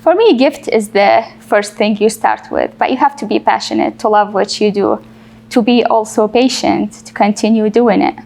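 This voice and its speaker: A woman's voice: mid-range voice, normal speed, creaky, darkish tone. A young woman from Iraq, a painter, answering interview questions in an earnest, plain way.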